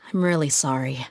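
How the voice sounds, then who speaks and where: A woman's voice: a bass voice, a little mellow, a little hiss or hum, full character voice. An actress playing a tough, confident young woman mercenary in a video game, assertive and blunt with a teasing swagger. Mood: weary, casual. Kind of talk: English video game voice acting.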